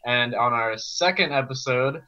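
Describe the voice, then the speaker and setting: A man's voice: medium pitch, a little slow, pushed and bright. A young man, a student performer, explaining a project in a promotional interview over a lo-fi video call. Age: young adult. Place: bedroom, indoors. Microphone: earphone mic on video call.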